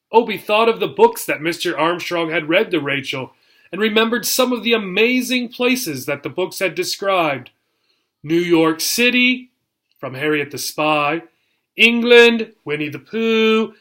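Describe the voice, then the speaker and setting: A man working as a teacher, reading a children's chapter book aloud in an expressive, explanatory way and checking in with listeners. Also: sits high. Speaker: teacher reading a story to learners.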